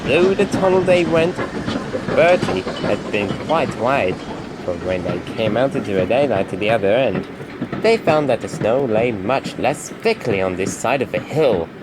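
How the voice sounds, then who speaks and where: A man's voice: mid-range voice, expressive, unhurried, noisy background. A man reading a scripted children's story as narrator.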